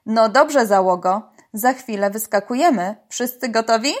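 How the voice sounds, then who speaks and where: A woman's voice: a little high, normal speed, natural voice. A woman voicing a protective, commanding uncle who leads a young crew in a children's story. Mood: rallying. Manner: commanding, emphatic.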